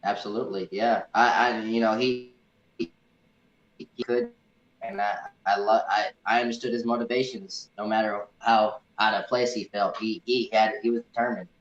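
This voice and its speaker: Echoey, halting man chatting about films. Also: fairly low pitch, fairly even intonation. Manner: halting, repeats words, enthusiastic fan talk. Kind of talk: casual movie review chat. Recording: echoey room, dull muffled audio.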